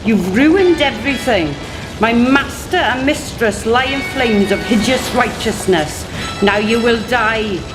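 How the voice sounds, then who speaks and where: An actress playing a menacing, fanatical old servant hag in a radio play, theatrical and ominous. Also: female voice, a little high, lively intonation, unhurried, music in the background, low-quality recording. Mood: vengeful, demanding.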